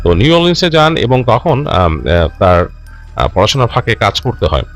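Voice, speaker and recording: A man narrates a ghost story as a host, speaking conversationally with lively pitch movement. He often pauses, restarts and repeats himself, dropping in English words, over background music. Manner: halting, self-correcting, repeats and rephrases phrases as he goes; mixes English words into his speech. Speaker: host narrating listener-submitted ghost stories.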